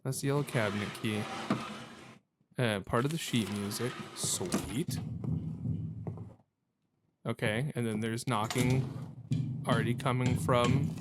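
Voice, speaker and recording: A man's voice: mid-range voice, takes it slowly, long pauses, very noisy. A man giving casual let's play commentary on a video game, thinking aloud in a hesitant, self-questioning, rambling way.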